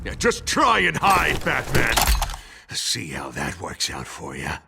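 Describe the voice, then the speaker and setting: A man acting a cocky, sneering tough villain with a gravelly voice in a video game. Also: medium pitch, huge pitch swings, takes it slowly, very noisy, full character voice. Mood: taunting. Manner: sneering, taunting.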